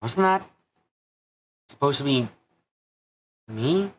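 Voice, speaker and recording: A man giving an earnest amateur dramatic reading of a visual novel, halting for dramatic pauses. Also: mid-range voice, lively intonation, a bit bright. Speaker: amateur fan dubber. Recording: music behind the voice. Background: background music.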